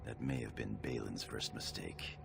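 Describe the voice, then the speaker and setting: A man playing a loyal, sorrowful dwarf knight in a video game, speaking gravely and formally. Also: a bass voice, huge pitch swings, moderate pace, noisy background, exaggerated voice. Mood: grave, urgent. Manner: earnest, formal. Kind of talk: video game cutscene acting. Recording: dramatic music under the voice.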